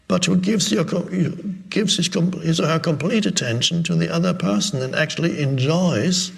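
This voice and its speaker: A man giving an unscripted spiritual talk as a teacher, reflective and halting, pausing and rephrasing as he goes. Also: a little high, creaky. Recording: long reverberant hall echo.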